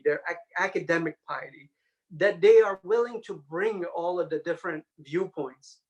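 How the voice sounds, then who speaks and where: A man speaking as a religious lecturer, explaining points in a measured way with halting phrases, as in a scholarly talk and question session. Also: high-pitched, low-quality recording.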